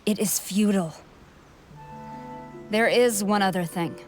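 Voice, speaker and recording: An actress playing a haughty, coldly confident army commander in a video game, speaking in a measured, imperious and condescending way. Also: female voice, mid-range voice, darkish tone, faint music behind, natural voice. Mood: cold, bargaining. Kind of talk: dramatic video game voice acting.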